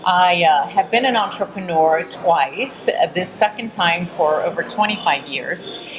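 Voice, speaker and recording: A woman's voice: on the low side, expressive, measured pace, background noise. A middle-aged woman from Texas giving earnest, reflective answers as an interview guest. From Texas, United States. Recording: busy crowd chatter behind. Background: crowd murmur.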